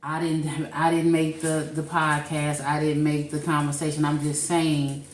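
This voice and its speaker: Low-voiced woman co-hosting a chat podcast. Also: level tone, measured pace, echoey room, slight background noise. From St. Louis, Missouri. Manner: hesitant, thinking aloud with restarts. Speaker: podcast co-host. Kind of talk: casual chat.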